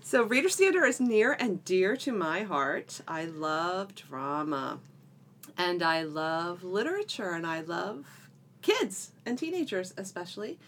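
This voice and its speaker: A woman's voice: lowish voice, very animated, a little slow, fairly bright tone. A middle-aged woman co-hosting a podcast in a casual, chatty style with a playful, bantering manner. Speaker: podcast co-host.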